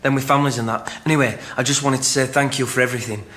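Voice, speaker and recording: A man acting a kind young miner in a radio play, plain-spoken and modest. His pitch sits in an ordinary range, with faint noise behind him. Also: fairly even intonation, racing, fairly bright tone, natural voice. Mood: resigned, sincere. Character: kind young male pit worker, modest, grateful. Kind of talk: naturalistic radio drama acting.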